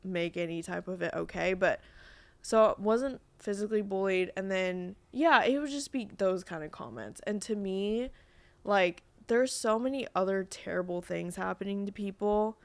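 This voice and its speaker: A woman's voice: medium pitch, normal speed, no room sound. A young woman whose first language was Vietnamese, chatting casually as a podcast guest with lots of fillers. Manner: chatty, many fillers and restarts. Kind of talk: casual chat.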